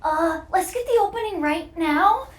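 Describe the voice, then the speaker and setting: A woman's voice: very high-pitched, lively intonation, a little slow, echoey room. A woman who works as a voice actor, putting on contrasting theatrical character voices in a demonstration. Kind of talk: voice acting demonstration.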